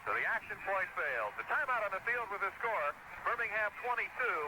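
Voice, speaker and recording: A high-voiced American man does live football play-by-play in short, descriptive phrases. The recording is a noisy 1970s radio broadcast from a stadium. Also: animated, a little fast.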